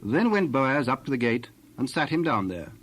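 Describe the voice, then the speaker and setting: A man's voice: medium pitch, very animated, normal speed, a little hiss or hum. A man narrating a dramatized Bible story in archaic English, reading from a script.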